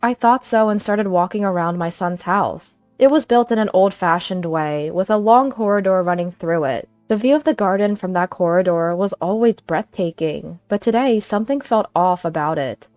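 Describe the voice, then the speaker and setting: A woman narrates a scripted first-person drama at a medium pitch. The recording is close and very dry. Faint music plays behind the voice. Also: normal speed, few pauses, darkish tone, no echo. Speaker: story narrator.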